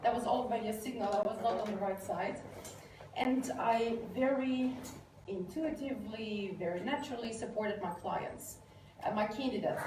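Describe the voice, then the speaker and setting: A middle-aged woman from Austria giving a career lecture to a live audience, chatty and prone to fillers and self-corrections. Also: medium pitch. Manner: conversational, frequent fillers, rephrases herself. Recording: echoey room, distant mic, background hiss.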